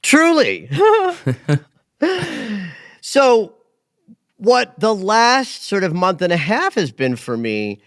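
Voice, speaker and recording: A man in his late fifties hosts a casual astrology podcast. His voice sits a little high and moves in wide, lively swings, with emphatic peaks and quiet asides. The sound is dry and close. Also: takes it slowly, pauses often, no echo. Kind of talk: casual conversational chat.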